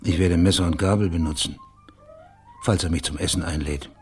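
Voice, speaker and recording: Man playing a low-voiced, sardonic private detective. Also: steady tone, a little fast, darkish tone, soft background music, close to an everyday voice. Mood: wry, probing. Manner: laconic, wry, probing questions. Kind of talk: German radio crime drama acting.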